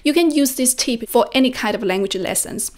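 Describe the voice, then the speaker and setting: High-voiced young woman, native Chinese speaker. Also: brisk, darkish tone. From China. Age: young adult. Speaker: language-learning video host. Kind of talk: instructional vlog to camera. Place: quiet room at home. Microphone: close desk microphone with pop filter.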